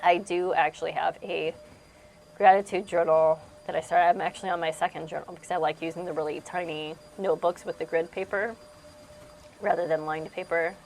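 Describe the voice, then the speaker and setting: A woman's voice: on the low side, fairly even intonation, a little fast, a little hiss or hum, poor audio. A young woman, slightly congested, chatting casually and rambling as she narrates her house cleaning with frequent laughs. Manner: rambling, self-deprecating, laughs often. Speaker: cleaning vlogger.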